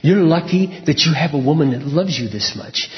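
A man's voice: a little high, animated, normal speed, natural voice. A man voicing God as a loving but firm fatherly figure, quoted while preaching a sermon. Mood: pleading, earnest. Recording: echoey hall, dull lo-fi sound.

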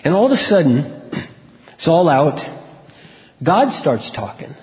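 A man preaching a sermon and telling personal stories in a frank, conversational way, often clearing his throat. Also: on the high side, big pitch swings, measured pace. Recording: echoey hall, dull lo-fi sound.

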